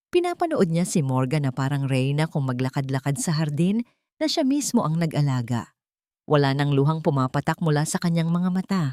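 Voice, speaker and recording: A woman's voice: low-pitched, mellow, dry recording, studio quality. A woman with a Filipino accent narrating a dramatic story as a scripted audiobook.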